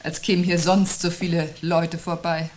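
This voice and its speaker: An actress playing a sardonic, sceptical wife in a 1970s radio drama, speaking with dry, pointed irony. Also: female voice, lowish voice, level tone, normal speed, a little mellow, slight background noise, close to an everyday voice. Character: sardonic, sceptical wife parrying her husband's unease.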